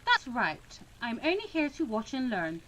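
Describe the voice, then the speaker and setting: A woman's voice: on the high side, huge pitch swings, measured pace, noisy background. A woman voicing a young female student mediator from a visual novel, indignant, reluctant and principled, in an emphatic, sulky way. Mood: defiant. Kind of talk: visual novel read-aloud.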